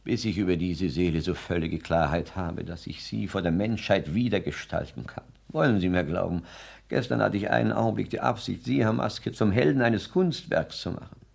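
An actor playing a pompous, self-absorbed poet who declaims in grandiloquent phrases, in the manner of a 1960s German radio play. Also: male voice, low voice, moderate pace, gravelly, darkish tone, husky, full character voice. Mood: solemn, exalted. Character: pompous, rapturous male poet lodger, high-flown and self-absorbed.

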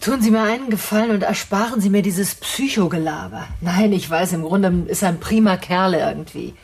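Husky, sharp-tongued educated woman. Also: medium pitch, normal speed. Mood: scornful. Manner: sarcastic, condescending, articulate. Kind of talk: naturalistic radio drama acting.

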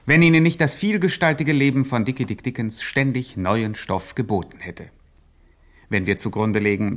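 An actor playing a pedantic co-narrator in a satirical radio play, formal and deadpan. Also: male voice, medium pitch, natural voice.

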